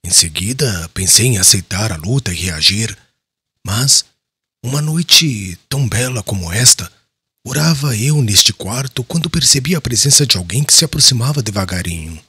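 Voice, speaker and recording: An actor playing a devout, compassionate male apostle and church leader in an audiobook drama, solemn and earnest, with a husky voice. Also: on the low side, lively intonation, normal speed, sharp tone, dry recording, exaggerated voice. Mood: solemn, reverent.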